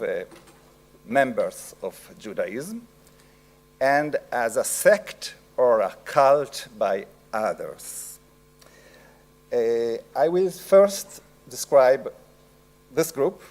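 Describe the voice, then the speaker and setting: A middle-aged man with an Italian accent giving an academic lecture, slow and halting with frequent pauses. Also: mid-range voice, a little mellow.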